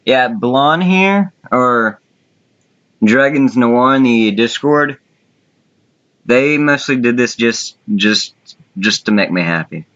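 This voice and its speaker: Guy co-hosting a contest, blunt casual chat, middling-low voice, kinda muffled lofi audio. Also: animated, takes it slowly, fairly bright tone. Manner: blunt, informal, swears casually. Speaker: online contest co-host and judge. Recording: muffled lo-fi voice recording.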